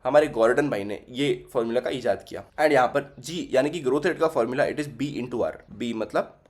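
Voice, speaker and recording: A man's voice: mid-range voice, moderate pace. A young man teaching a finance class, working through the numbers aloud and repeating figures as he goes, switching between Hindi and English. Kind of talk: recorded finance lesson.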